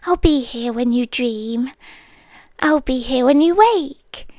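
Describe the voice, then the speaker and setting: A British woman voicing a possessive, obsessive female guardian angel in an ASMR roleplay, speaking softly and often in a whisper close to the mic. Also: high-pitched, takes it slowly, no echo. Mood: soothing, possessive. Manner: soft, intimate, often whispered.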